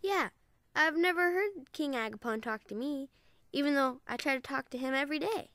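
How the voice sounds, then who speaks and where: Lady acting as a curious young girl cadet, pretty high voice, blunt and confused, kids audio drama. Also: moderate pace, no room sound. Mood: doubtful. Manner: blunt, questioning, expressive.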